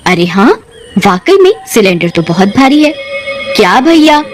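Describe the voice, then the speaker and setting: A woman's voice: mid-range voice, very animated, measured pace, faint music behind. A woman voicing a questioning, easily alarmed village housewife in a cartoon ghost story. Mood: surprised, teasing. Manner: exclaiming, questioning.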